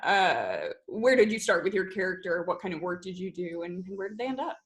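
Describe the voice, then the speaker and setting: A woman's voice: lowish voice, very animated, a little fast. A woman moderating a casual cast discussion in an informal, somewhat hesitant way. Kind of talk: casual chat.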